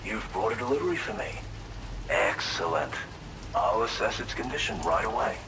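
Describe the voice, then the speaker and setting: Video game role: eccentric self-taught healer, theatrical and formal, very lively pitch, clear rain-like noise behind. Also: male voice, moderate pace. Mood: delighted, welcoming. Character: eccentric, courteous male tar therapist, enthusiastic self-taught researcher. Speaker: non-player character in a video game. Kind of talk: expressive video game character dialogue.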